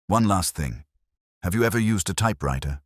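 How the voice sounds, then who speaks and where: A man voicing a calm, shrewd, courteous police inspector who speaks quietly, deliberately and cryptically in a mystery story. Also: deep voice, no room sound, studio quality. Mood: polite probing.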